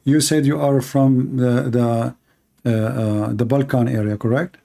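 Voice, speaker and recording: A man's voice: mid-range voice, fairly even intonation, unhurried, vocal fry, warm tone. A man who speaks Arabic as his first language, hosting a live call-in show on religion and questioning a caller in an insistent, repetitive way. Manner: insistent, questioning, repeats himself. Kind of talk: conversational religious debate with a caller.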